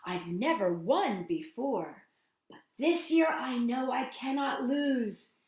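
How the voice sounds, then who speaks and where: A woman's voice: on the high side, expressive, slow, a little mellow, roomy sound. A woman hosting storytime for young children, reading a picture book expressively and addressing the children directly with questions. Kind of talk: picture-book read-aloud for young children with asides and sing-song greetings.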